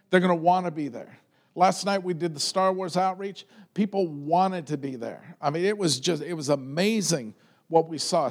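A pastor preaching a sermon to his church. His voice is loud and set high for a man, and he talks in a relaxed way, stacking up repeated words as he builds a point.

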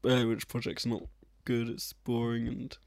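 A man's voice: medium pitch, flat delivery, measured pace, vocal fry, dry recording. A man co-hosting a casual film podcast, laid-back and wry in his replies. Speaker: podcast co-host. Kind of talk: casual chat about films.

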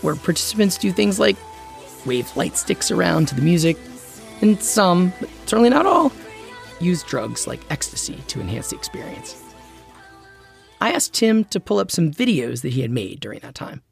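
A man's voice: high voice, very animated, moderate pace, creaky, soft background music. A man hosting and narrating a documentary-style story in a conversational, personal scripted way. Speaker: host and narrator.

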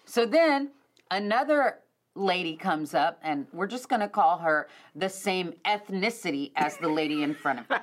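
A middle-aged woman hosting a podcast, telling a story in an animated, casual way and addressing listeners directly. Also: fairly low pitch, moderate pace. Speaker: podcast host.

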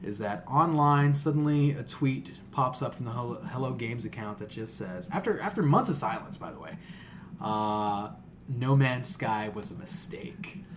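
A man hosting a podcast, chatting casually about gaming in an animated, profane, conversational way. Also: mid-range voice, moderate pace, a little hiss or hum. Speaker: podcast host.

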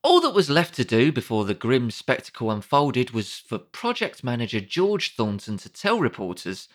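A man's voice: mid-range voice, wildly swooping pitch, moderate pace. A British man narrating a comedic audiobook in a wry, sardonic, conversational way.

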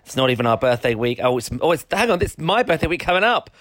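A man co-hosting a podcast, chatting casually with frequent restarts and quick laughs. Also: fairly high pitch, very animated, fairly bright tone, dry recording, clean studio sound. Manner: hesitant, full of restarts and laughter. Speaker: podcast co-host.

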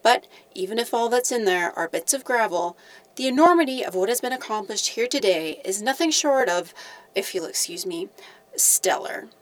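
A woman playing an awed, earnest television news reporter, with measured, dramatic broadcast delivery in an audio drama. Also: fairly high pitch, lively intonation, lightly acted.

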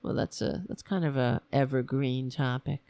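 A woman hosting a casual political talk show, rambling and sarcastic, hoarse because she is losing her voice. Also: rumbling low, normal speed, mellow, no room sound.

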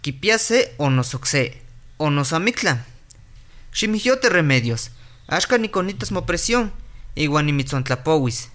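An actor playing a calm clinic doctor in a radio drama, explaining and reassuring. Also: medium pitch, measured pace, a little hiss or hum. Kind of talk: radio drama narration and acting. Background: music.

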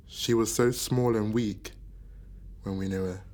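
An actress playing a bitter, grieving granddaughter in a naturalistic radio drama, with a husky voice and a blunt, brooding manner. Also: female voice, a bass voice, steady tone, measured pace, dark tone. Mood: brooding sadness.

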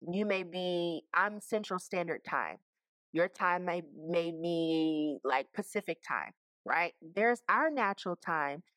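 A woman's voice: on the low side, fairly bright tone, no echo. A woman giving a casual Bible teaching, talking conversationally and checking in with her listeners. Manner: conversational, keeps checking in with "okay?" and "right?".

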